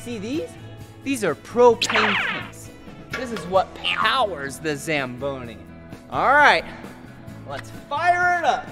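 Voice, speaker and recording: A man's voice: high-pitched, wildly swooping pitch, slow, over music. Giddy man hosting a kids' show. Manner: exaggerated exclamations and giggles. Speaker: children's show host. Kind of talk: animated educational narration for toddlers. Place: indoor ice rink.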